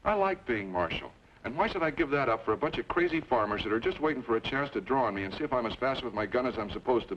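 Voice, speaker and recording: Man as a low-voiced, weary frontier marshal. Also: close to an everyday voice. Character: stoic, weary, self-interested frontier marshal. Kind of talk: old-time radio western acting. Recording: dull, noisy old radio recording.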